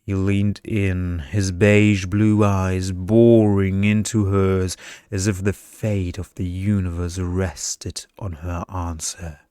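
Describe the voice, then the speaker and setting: Very slow, smooth-voiced man narrating. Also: low voice, little pitch movement, warm tone, no echo, studio quality. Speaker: story narrator. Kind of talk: slow ASMR storytelling for sleep.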